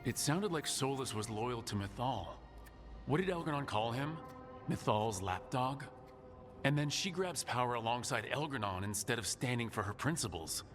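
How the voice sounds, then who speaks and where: A level-headed adventuring leader voiced by a male actor in a fantasy game. He talks casually and directly at a middling pitch. Music plays clearly behind his voice. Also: animated, moderate pace, a bit bright, natural voice. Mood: matter-of-fact. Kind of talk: naturalistic video game dialogue.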